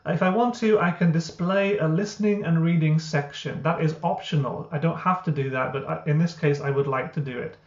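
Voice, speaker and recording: A man, the founder of a language app, walking through his software step by step in an explanatory way, with frequent uh and um. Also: a little high, fairly even intonation, brisk, hardly any pauses. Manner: explanatory, many uh and um fillers. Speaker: software founder giving a tutorial. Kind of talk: screen-recorded software walkthrough. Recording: roomy, dull lo-fi.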